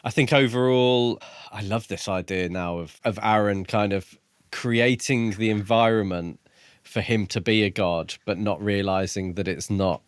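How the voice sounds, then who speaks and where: A man's voice: lowish voice, fairly even intonation, a little slow, clean tone, no room sound. A man co-hosting a casual book discussion podcast, talking with dry, wry humour. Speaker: podcast co-host.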